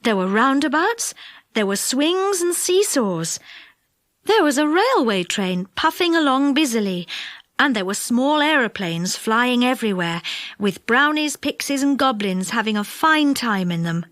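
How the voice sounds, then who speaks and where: A woman narrating a children's storybook in an expressive, animated way. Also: a little high, measured pace, no room sound, clean studio sound. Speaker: audiobook narrator.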